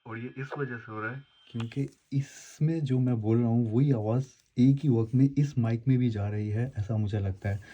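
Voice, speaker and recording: A man's voice: medium pitch, steady tone, moderate pace, few pauses, mellow, highs cut off. Man casually explaining a product demo. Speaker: host of an unboxing and review video.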